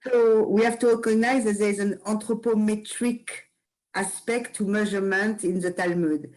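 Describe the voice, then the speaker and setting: A woman with a French accent speaking over a video call. She talks in halting phrases, repeats herself and searches for words as she chats with her study group. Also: medium pitch, fairly even intonation, a little mellow, poor audio. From France. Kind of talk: casual chat on a video call.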